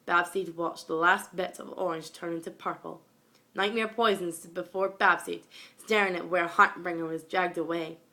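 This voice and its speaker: A woman's voice: lowish voice, fairly even intonation, normal speed, pushed and bright. A young woman giving an amateur reading of a fan fiction story, narrating into a phone. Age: young, school age.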